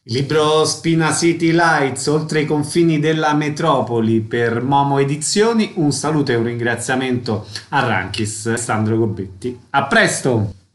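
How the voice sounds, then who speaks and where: A man's voice: medium pitch, moderate pace. A man hosting a book interview on the radio, introducing and questioning his guests. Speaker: radio host interviewing authors.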